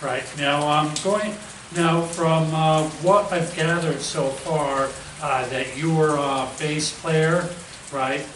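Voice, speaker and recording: A man's voice: fairly high pitch, fairly even intonation, slow pace, a bit bright. A man hosting a radio show and interview in a casual, goofy, rambling way. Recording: roomy, far from mic, noisy.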